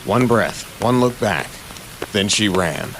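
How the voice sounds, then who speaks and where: A man's voice: on the low side, lively intonation, slow pace, background noise. A man narrating an audio drama in a suspenseful, dramatic scripted style, with short punchy phrases.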